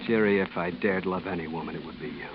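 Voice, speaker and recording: A man's voice: low-pitched, fast talker, slight background noise, close to an everyday voice. An actor playing a weary, resigned expatriate man telling his island story in a 1940s radio drama. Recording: muffled old radio recording with music.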